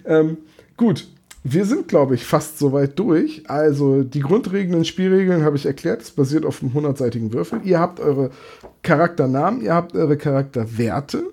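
Brief: young male game master, mellow, slightly high, rambling explainer. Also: expressive, normal speed, gravelly, husky. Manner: chatty, explains at length with many restarts. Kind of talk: casual chat about tabletop games.

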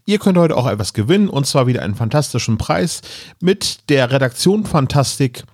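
A man's voice: mid-range voice, expressive, brisk, a little mellow, no room sound, clean studio sound. A man giving a casual podcast introduction and giveaway announcement.

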